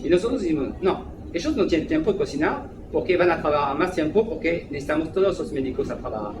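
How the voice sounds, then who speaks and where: A man's voice: a little high, expressive, fast. A man with a French accent speaking Spanish as a second language, a restaurant manager giving an interview, hesitant and full of fillers. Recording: echoey room, background noise.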